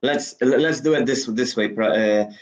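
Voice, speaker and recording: A man co-hosting a livestream, speaking in a hesitant, halting way with chuckles. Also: mid-range voice. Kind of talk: casual livestream chat.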